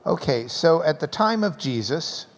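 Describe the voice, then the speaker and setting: A man interviewing a guest in a deliberate, folksy, playful way. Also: fairly high pitch, big pitch swings. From Texas. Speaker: interviewer, event host. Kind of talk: conversational public interview. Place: large hall event with sound system. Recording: echoey large hall.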